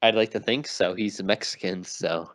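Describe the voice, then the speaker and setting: Argumentative young man, lowish voice. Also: normal speed, a bit bright. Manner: argumentative, insistent, keeps saying "listen". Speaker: podcast co-host. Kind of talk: casual chat. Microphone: remote call mic.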